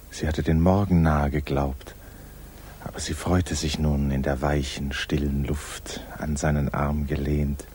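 A man narrating a literary 1950s radio drama in a measured, hushed storytelling manner. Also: extremely low pitch, slight background noise. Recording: 1950s radio recording, faint music.

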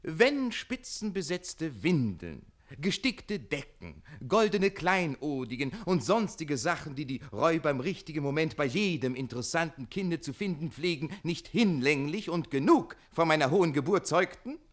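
A high-pitched, very animated cocky young defendant in a radio comedy. He argues with theatrical indignation and quick wit, as if he were of noble birth. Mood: theatrical pleading. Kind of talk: German radio play comedy acting.

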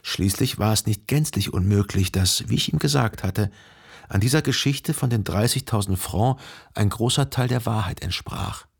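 A man playing a reflective, lovelorn narrator recalling his past in a literary radio drama, measured and contemplative. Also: fairly low pitch, creaky, husky. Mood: wry reflection.

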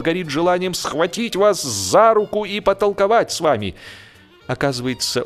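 Male narrator, mid-pitched, theatrical delivery of humorous classic prose, deliberate pauses, music underneath. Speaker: audiobook narrator. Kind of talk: dramatized comic literary narration.

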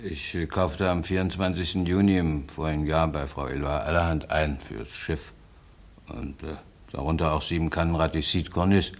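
A plain-spoken ship's captain in a fifties German radio drama. His voice is very low, and he speaks haltingly and matter-of-factly, as a witness does. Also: steady tone. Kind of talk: 1950s German radio play acting.

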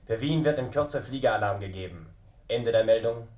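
A stern wartime newsreader in a radio drama, delivering official warnings. The voice is mid-pitched, formal and clipped, with no personal warmth. It comes dull and echoey out of an old radio set. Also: male voice, fairly quick. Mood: urgent, formal. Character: official wartime radio announcer reading air-raid warnings. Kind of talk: 1940s German radio announcement.

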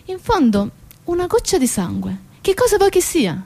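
A woman's voice: very high-pitched, very animated, normal speed, gravelly, mellow. A woman delivering a dramatic theatrical monologue as a stage storyteller. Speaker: stage actress and narrator.

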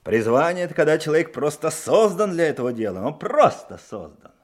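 An actor playing a pompous, skeptical policeman in a radio drama, explaining things in a patronising, emphatic, halting way. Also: a little high, very animated. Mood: condescending. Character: pompous, skeptical male police colleague, sneering at a novice.

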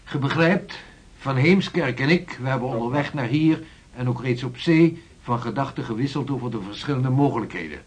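A man's voice: mid-range voice, expressive, moderate pace, husky. An actor playing a navigator politely but firmly arguing his case in a radio play. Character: seasoned ship's navigator at a meeting.

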